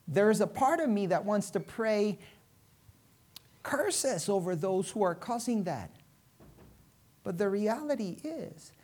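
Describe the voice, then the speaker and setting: A woman from Venezuela preaching a sermon to a congregation, using rhetorical questions and dramatic emphasis and pauses. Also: medium pitch, darkish tone.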